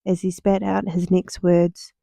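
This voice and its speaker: A young woman narrates a story in soft ASMR style, her tone very dark and mellow. Her pitch sits on the low side and moves little, with deliberate pauses between phrases. The sound is dry and close. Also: little pitch movement, gravelly, no echo. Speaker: writer reading her own book.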